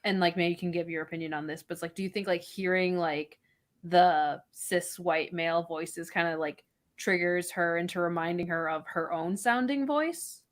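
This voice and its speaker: A woman's voice: lowish voice, moderate pace. A woman co-hosting a casual podcast chat, hesitant and full of fillers. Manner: hesitant, filler-heavy, giggly. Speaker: podcast co-host.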